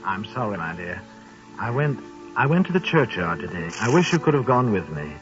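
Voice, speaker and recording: A man playing a gentle, subdued, grieving father in a muffled 1930s radio drama with music behind. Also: fairly low pitch, very animated, a little fast. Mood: subdued sorrow. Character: gentle, bereaved working-class father, devoted and grieving.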